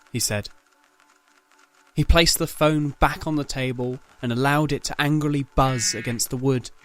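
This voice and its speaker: A man reading dramatic scripted narration as the narrator of an audio story. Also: medium pitch.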